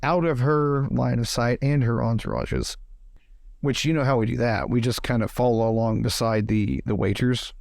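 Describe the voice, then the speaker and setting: A man with a creaky voice chatting casually as a player in a tabletop role-playing game. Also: mid-range voice, steady tone, moderate pace, darkish tone, no room sound, studio quality.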